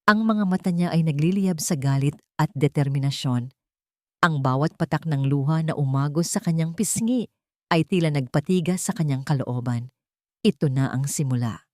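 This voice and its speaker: A woman's voice: low-pitched, moderate pace, darkish tone, dry recording, clean studio sound. A woman reading a scripted dramatic story as its narrator. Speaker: narrator of romantic drama stories.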